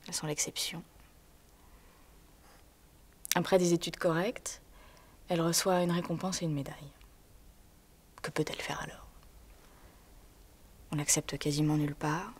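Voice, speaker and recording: An actress delivering a theatrical monologue in a hushed voice that often drops to a whisper, pausing as she tells a story. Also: low-pitched, little pitch movement, husky.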